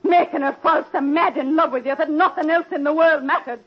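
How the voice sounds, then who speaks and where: A woman's voice: high voice, steady tone, a little fast, lightly acted. An actress playing a grieving, accusing mother in an emotional 1940s radio drama style. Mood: bitter, accusing. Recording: muffled old-time radio recording.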